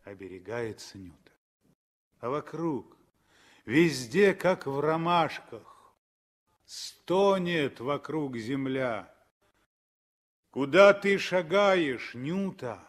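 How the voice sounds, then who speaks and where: A man narrating a 1960s radio drama as a lyrical, expressive storyteller with measured phrasing. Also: a little high. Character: lyrical male storyteller of a civil war tale.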